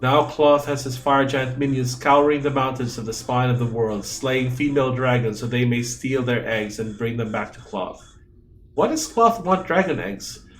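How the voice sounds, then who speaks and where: A man's voice: medium pitch, normal speed, soft background music. A man whose first language is Cebuano, chatting and joking as he streams a game and reads its text aloud. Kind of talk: let's play commentary.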